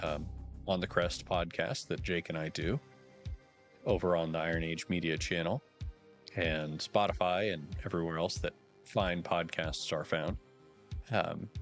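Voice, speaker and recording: Slightly hoarse, chatty male streamer. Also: sits low, steady tone, normal speed. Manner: chatty, thinking aloud, wry asides. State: a little hoarse. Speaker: game streamer. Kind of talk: casual live-stream commentary. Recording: background music under voice.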